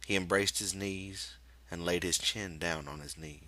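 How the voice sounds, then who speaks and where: A man reading literary fiction aloud as an audiobook narrator, with measured, deliberate phrasing. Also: sits low, steady tone, pushed and bright, slight background noise. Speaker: audiobook reader.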